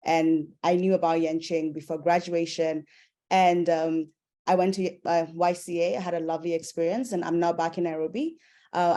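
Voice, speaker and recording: A woman from Kenya speaks on a video call in a low, mellow voice. She introduces herself to the panel in a friendly, measured way, pausing now and then to find her words. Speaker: alumna panelist. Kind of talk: self-introduction on a webinar.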